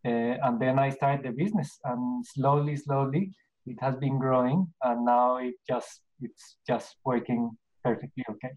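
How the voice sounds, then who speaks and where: A middle-aged Colombian man with a Spanish accent tells his story in a relaxed interview. He speaks in stops and restarts, padding his sentences with fillers. His voice sits at an ordinary pitch, and the recording sounds a little dull and lo-fi. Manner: halting, many restarts and fillers. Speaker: podcast guest, business owner. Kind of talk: casual interview answers.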